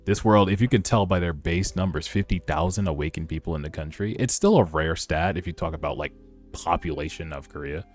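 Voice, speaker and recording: A man giving casual, slangy reaction commentary as a streamer reading a webtoon aloud, with some swearing. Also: sits low. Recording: music behind the voice. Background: background music.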